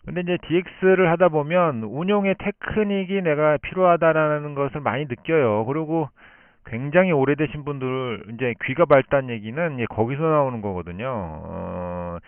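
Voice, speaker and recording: A man speaking as an amateur radio operator in long, unscripted explanations, thinking aloud with pauses, over a band-limited shortwave link. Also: on the high side, clean tone, dry recording, phone-quality sound.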